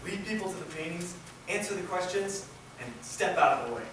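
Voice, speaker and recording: A woman's voice: lowish voice, expressive, a little fast. A woman giving dramatic scripted narration in a readers' theatre group. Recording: echoey hall, distant mic, loud noise.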